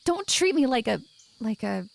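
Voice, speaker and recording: A woman's voice: a little high, big pitch swings, moderate pace, creaky, slight background noise, heavily acted. A woman playing a stubborn, proud young hiker in an audio drama. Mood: indignant. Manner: stubborn, indignant. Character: Maggie, proud defiant young woman on a trail hike.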